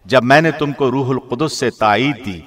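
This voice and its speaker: Solemn male scripture reader, mid-pitched. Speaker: translation reader, radio presenter. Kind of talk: formal scripted scripture reading.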